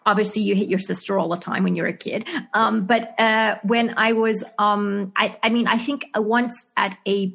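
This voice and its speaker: Zimbabwean actress, interview guest, mid-pitched, halting, laughs easily. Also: female voice, steady tone, creaky, a bit bright. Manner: hesitant, many fillers and restarts, laughs often. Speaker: actress and screenwriter being interviewed. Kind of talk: casual interview answers.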